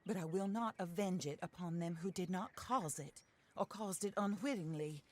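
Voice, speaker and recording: A woman's voice: lowish voice, normal speed, darkish tone, natural voice. A woman voicing a refined vengeful rich widow. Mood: calm, composed. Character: wealthy genteel widow bent on revenge. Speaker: patron hiring outlaws. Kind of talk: video game cutscene acting.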